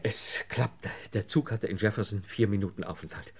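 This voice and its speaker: An actor playing a desperate, broken man under police questioning in a radio crime play, halting and pleading. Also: on the low side, gravelly, breathy edge. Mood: anxious, confessing. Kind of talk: German radio crime play acting, 1950s to 80s.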